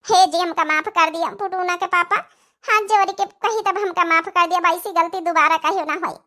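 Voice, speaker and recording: A woman's voice: very high-pitched, steady tone, moderate pace, bright, forceful tone. A woman voicing a repentant young village wife in a cartoon, pleading effusively in an Awadhi accent. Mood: tearful pleading.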